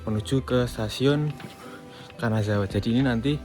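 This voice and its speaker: A man's voice: medium pitch, moderate pace, music in the background. A man chatting casually to his viewers as a travel vlogger, talking from train stations and platforms. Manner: chatty, addresses viewers as friends. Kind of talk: casual travel vlog narration.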